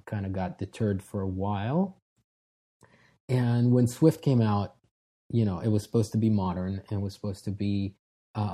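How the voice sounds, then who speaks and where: A man in his thirties from Israel, a guest telling his story in a relaxed, casual way with frequent restarts. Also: fairly low pitch. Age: 30s. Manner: relaxed, frequent restarts and fillers, self-deprecating. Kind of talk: casual interview talk.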